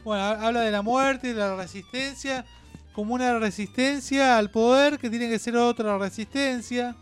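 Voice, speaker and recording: An Argentine man co-hosting a radio show in rambling, opinionated chat, halting, digressing and repeating himself. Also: extremely high pitch, clean tone, faint music behind.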